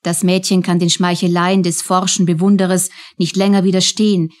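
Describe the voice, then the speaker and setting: A woman's voice: on the low side, flat delivery, a little fast. A woman narrating a German radio play in a scripted, storytelling style.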